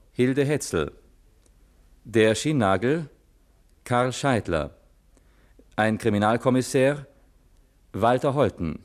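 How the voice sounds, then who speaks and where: A man working as a radio announcer, reading scripted credits in a measured, formal 1950s broadcast manner. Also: mid-range voice.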